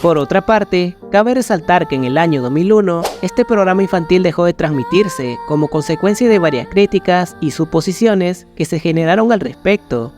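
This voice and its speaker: A man narrates scripted creepypasta tales as a storyteller. His voice sits a little higher than most men's. Music plays faintly behind him. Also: lively intonation, normal speed, few pauses. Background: background music.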